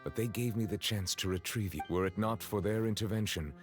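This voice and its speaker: A man voicing a reserved chief judge in a video game, speaking in a formal, measured and socially stiff way. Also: on the low side, soft background music, natural voice. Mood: calm, grave.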